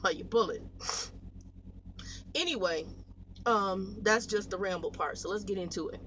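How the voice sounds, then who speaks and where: An American woman in her late forties talks casually to her viewers, rambling through stories in a blunt, animated, slangy way. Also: mid-range voice, measured pace, a little hiss or hum. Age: 40s. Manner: blunt, animated storytelling with slangy, salty language. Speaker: truck driver vlogger.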